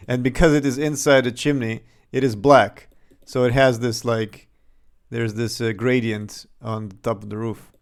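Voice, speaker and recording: A man's voice: mid-range voice, little pitch movement, measured pace, vocal fry, clear, resonant tone. A young man from Serbia with a slight Serbian accent, casually thinking aloud and chuckling as he talks through his game development on a livestream.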